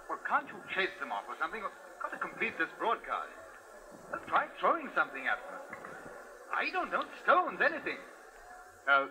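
An actor playing a flustered radio announcer, formal and hesitant, in a 1950s radio drama. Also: male voice, fairly high pitch, sharp tone, rough recording.

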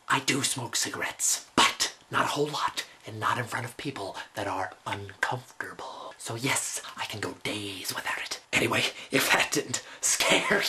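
A man playing an awkward, intense young man with a breathy, halting murmur in a comic dramatic reading. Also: medium pitch, sharp tone.

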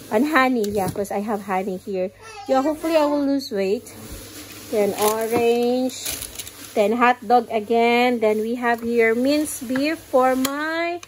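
A Filipino woman with a fairly high voice chats casually, calling her viewers "guys" and letting her sentences trail off softly. She sounds close to a camera in a home kitchen, with faint crinkling and clicks behind her. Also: a little hiss or hum, low-quality recording. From Philippines. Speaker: vlogger. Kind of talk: casual grocery haul chat.

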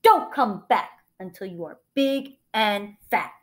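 A big hairy troll from a children's storybook, put on by a woman, mean, hungry and demanding. The voice booms and growls very slowly, swinging loudly up and down on stretched-out words. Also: animated. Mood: gruff, dismissive. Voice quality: booming, growling. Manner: slow, drawn-out, demanding.

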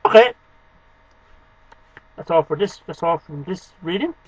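A man's voice: fairly high pitch, animated, measured pace, breathy edge. A man in his thirties reciting poetry to camera at home in an emphatic, declamatory way, in short phrases. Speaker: amateur poetry reader and video host. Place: small home room lined with shelves, indoors. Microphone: webcam microphone a short distance away. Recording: dull lo-fi webcam sound.

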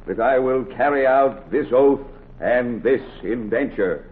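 An actor playing a solemn officiant in a 1940s radio drama, ceremonial and measured phrase by phrase. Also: male voice, medium pitch, phone-quality sound, close to an everyday voice. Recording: thin, hissy 1940s radio recording.

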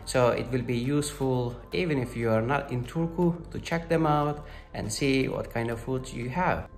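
A man's voice: mid-range voice, moderate pace. Upbeat man vlogging to a handheld phone. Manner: upbeat, non-native phrasing. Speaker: student ambassador. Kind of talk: vlog tour. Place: indoors and outdoors on campus, selfie filming. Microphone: phone at arm's length. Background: music.

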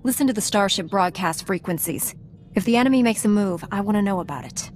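A resolute female warrior knight voiced by an actress in a science fiction game, with a medium-pitched voice. She speaks seriously and directly, with faint noise behind her. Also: fast, natural voice. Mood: earnest, firm. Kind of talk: video game acting.

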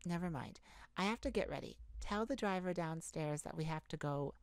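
Tired, anxious female pop star for intimate audio roleplay, acted by a woman: halting delivery, low pitch, very dry close sound. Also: no room sound. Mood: anxious, torn. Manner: halting, hesitant, confiding. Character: exhausted, anxious pop star confiding in her bodyguard lover. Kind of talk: intimate ASMR roleplay acting.

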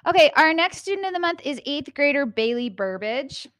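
A friendly woman with a slightly high voice presents school awards on a handheld mic. The sound is dry and close, from a small indoor studio. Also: expressive, fairly quick, fairly bright tone, no echo. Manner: friendly, with fillers. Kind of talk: school announcements.

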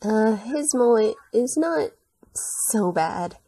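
A woman reads comic dialogue as an amateur voice actor. Her voice is slightly high but husky and mellow, with an unhurried delivery. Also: lively intonation, no room sound. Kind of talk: comic dub, acted dialogue.

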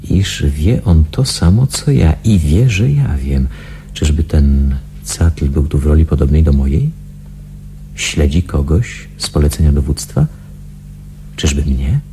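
A man's voice: a bass voice, dark tone, a little hiss or hum, exaggerated voice. An actor playing a suspicious secret investigator in a radio drama, brooding and questioning as he thinks aloud. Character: suspicious male secret investigator posing as a patient. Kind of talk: radio drama acting with inner monologue.

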